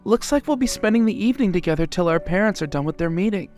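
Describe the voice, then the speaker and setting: A woman's voice: mid-range voice, animated, fast, a little mellow, soft background music, close to an everyday voice. A woman playing a friendly, easygoing young male changeling prince, cheerful and polite, in an audio drama.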